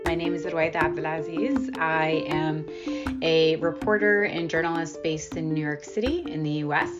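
Low-voiced reflective woman. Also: fairly even intonation, normal speed, highs cut off, loud music behind the voice. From United States. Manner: reflective, thoughtful, with fillers. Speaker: journalist guest. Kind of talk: personal storytelling interview.

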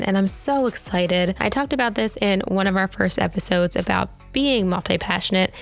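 Bubbly woman host with a gravelly edge. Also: mid-range voice. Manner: bubbly and enthusiastic, chatty asides to listeners. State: slightly raspy from a sore throat. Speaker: podcast host. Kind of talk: casual solo podcast talk.

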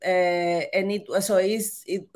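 Salvadoran woman, halting guest speech. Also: on the low side, steady tone, a little mellow. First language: Spanish. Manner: halting, many restarts and fillers. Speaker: author and journalist guest. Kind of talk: interview, hesitant storytelling.

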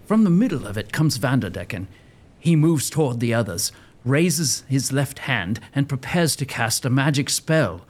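A man narrating a scripted audio drama with suspenseful, deliberate emphasis. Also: medium pitch, sing-song.

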